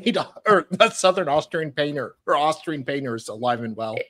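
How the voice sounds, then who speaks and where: A woman's voice: low-pitched, animated, a little fast, fairly bright tone. A woman co-hosting with casual, sarcastic comic banter and dramatic reading. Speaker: co-host.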